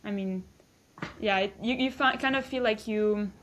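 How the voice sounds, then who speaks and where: A woman's voice: medium pitch, moderate pace, fairly bright tone, slight background noise. A woman in her twenties from Belgium, a guest chatting casually with hesitant restarts and fillers. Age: 20s. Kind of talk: casual interview chat.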